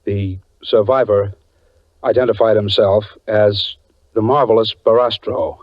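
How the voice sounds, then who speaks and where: An actor playing a sober, shaken man who recounts a strange story in measured 1940s radio drama narration. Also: lowish voice, fairly even intonation, no echo, lightly acted. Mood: sober unease. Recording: dull, dry 1940s radio recording.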